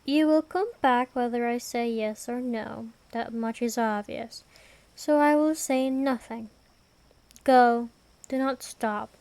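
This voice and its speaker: A woman playing an ancient vampire queen in an ASMR roleplay, aloof and menacing yet protective, with a measured, formal, imperious delivery. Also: fairly high pitch, darkish tone, dry recording. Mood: reluctantly protective. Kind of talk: ASMR roleplay, scripted fantasy monologue.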